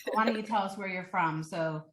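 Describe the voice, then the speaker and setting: A woman's voice: medium pitch, brisk, a bit bright. Woman podcast host in an echoey room. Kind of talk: casual chat, interviewing. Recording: roomy, echoey sound.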